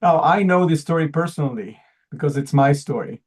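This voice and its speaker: A man's voice: fairly high pitch, lively intonation, brisk. A man whose first language is Hebrew gives an earnest presentation, often repeating words as he looks for the phrasing. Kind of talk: pitch presentation to an audience.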